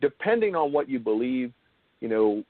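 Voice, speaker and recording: Animated male interviewer on a thin phone-like line. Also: mid-range voice, normal speed, no echo. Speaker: show host and interviewer. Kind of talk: conversational interview.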